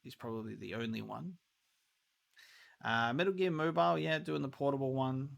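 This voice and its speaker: A man's voice: mid-range voice, normal speed, gravelly. A man streaming games, chatting casually to viewers in a rambling, hesitant way as if thinking aloud. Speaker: game streamer. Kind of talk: casual livestream sign-off chat.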